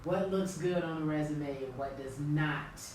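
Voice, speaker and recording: A woman's voice: deep voice, measured pace, darkish tone. A woman hosting a live interview, asking questions and backing the guest up with frequent "right" and "mm-hmm". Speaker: host. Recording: echoey room, far mic, background noise.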